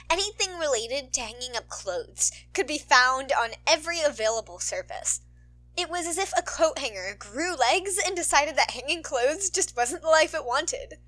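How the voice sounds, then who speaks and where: A woman reading a scripted story aloud as an expressive narrator. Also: high-pitched, a little slow. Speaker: narrator, podfic reader.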